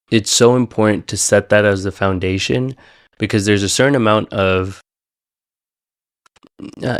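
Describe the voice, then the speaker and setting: A man with a fairly low voice hosts a long-form interview. He talks in a reflective, conversational way and puts open questions to his guest. The sound is close and dry. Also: dry recording. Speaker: podcast host.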